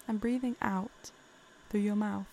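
A woman narrating a guided meditation in a calm, soothing, unhurried way. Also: mid-range voice.

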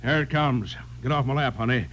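An actor playing a gruff, bossy fat slacker in a 1950s radio drama, blunt in manner. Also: male voice, mid-range voice, a little fast, a bit bright, natural voice. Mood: impatient, gruff. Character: gruff, sweaty fat man slacking over beer. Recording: muffled, noisy vintage radio recording.

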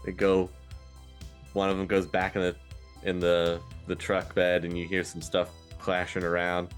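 A man runs a tabletop role-playing game as its game master. His voice is fairly deep and casual, and he pieces the narration together haltingly over quiet music. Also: monotone. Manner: casual, halting, pausing mid-sentence.

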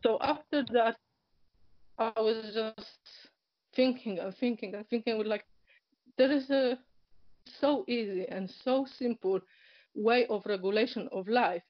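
Guest: woman, Polish accent, Polish native speaker, hesitant storyteller who laughs softly, lo-fi dry call audio. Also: a little high, measured pace, pauses often, no room sound. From Poland. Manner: hesitant, many fillers, nonnative grammar, frequent soft laughs. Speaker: interview guest. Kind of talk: personal story in casual conversation. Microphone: online call. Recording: dull, lo-fi internet call audio.

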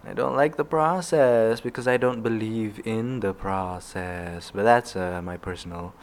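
A man's voice: fairly low pitch, a little hiss or hum. A young man with a Malaysian accent co-hosting a podcast, joking around with wordplay and hesitating with fillers. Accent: Malaysian English. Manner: jokey wordplay, halting with fillers. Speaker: podcast co-host. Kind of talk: playful casual chat mixing English and Malay.

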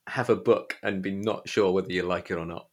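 A man hosting a podcast, chatting casually about books in a halting way, often repeating and correcting himself. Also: sits low, steady tone. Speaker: podcast host. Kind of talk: casual book chat.

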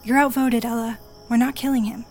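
A practical, law-minded woman, played by an actress in a radio drama. She speaks firmly and plainly in a higher voice over soft music. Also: fairly even intonation, fairly quick, close to an everyday voice. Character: lawful, practical, level-headed woman.

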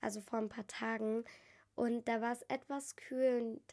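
A woman's voice: on the high side, level tone, normal speed, creaky, a little mellow. A teenage girl hosting her own podcast, chatting earnestly to her listeners while stuffed up with a cold. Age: teens. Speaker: podcast host. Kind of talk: casual solo podcast talk.

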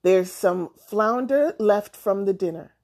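A woman voicing a dry, matter-of-fact old grandfather in a children's storybook, gruff but yielding. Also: mid-range voice, takes it slowly, darkish tone. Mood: resigned.

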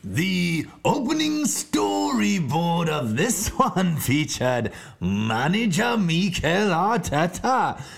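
A man playing a bombastic, high-swooping football show host. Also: big pitch swings, gravelly, exaggerated voice. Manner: theatrical, bombastic, drawn-out announcing. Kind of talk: mock sports-news presenting, scripted.

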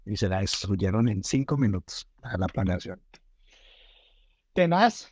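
A man co-hosting a talk show, speaking in a relaxed way and often chiming in with short words of agreement. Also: fairly low pitch, husky, no echo, studio quality. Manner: relaxed, quick interjections of agreement. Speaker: co-host.

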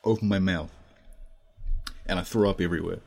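A man's voice: sits low, steady tone, fairly quick, gravelly, darkish tone. A man playing a tabletop game, talking casually and joking. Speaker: player.

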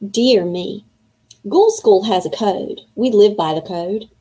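A young boy vampire spills his secrets into his diary in a confiding storybook voice, as a woman reads him aloud. The tone is mellow and a little husky. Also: mid-range voice, slow pace. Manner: confiding first-person storybook reading. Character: eleven-year-old boy vampire keeping a diary.

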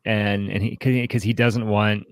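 A man hosting a podcast, discussing in a casual way, haltingly, often repeating words and saying "like". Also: fairly low pitch, level tone, no echo, clean studio sound. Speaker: podcast host.

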